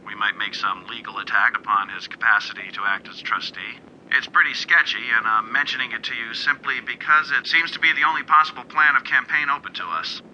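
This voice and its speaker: An actor playing a shrewd, unflappable American defense lawyer in a radio drama, with a clipped, questioning manner. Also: male voice, medium pitch, a little fast, pushed and bright, dull audio, slight background noise. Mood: measured, probing. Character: shrewd, cool male criminal defense lawyer.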